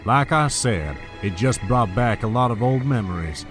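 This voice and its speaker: A man's voice: mid-range voice, animated, moderate pace, fairly bright tone, faint music behind, lightly acted. A man acting a suave, sentimental older schemer in a soap-opera audio drama. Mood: wistful, courtly.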